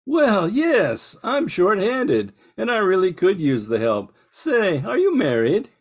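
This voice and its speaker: A man's voice: high-pitched, wildly swooping pitch, takes it slowly, heavily acted. A man playing a harried, polite small-town bakery owner in an old-time radio drama, earnest and hesitant. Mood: curious. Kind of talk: 1940s radio drama acting.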